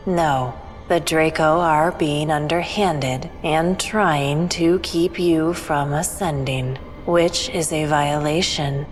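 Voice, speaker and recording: An aloof female alien played by a woman from a sci-fi audio thriller, cryptic and formal. The voice is deep for a woman and very unhurried, ringing in long reverb with music underneath. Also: fairly even intonation. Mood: grave, detached. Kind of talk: scripted sci-fi audio drama.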